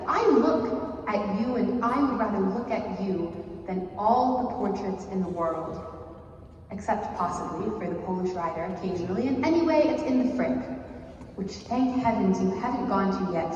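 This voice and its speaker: A woman's voice: medium pitch, dark tone. A woman reciting a poem live as a poetry reader in a large hall. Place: large reverberant museum hall. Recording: dull, distant, very echoey hall, faint noise.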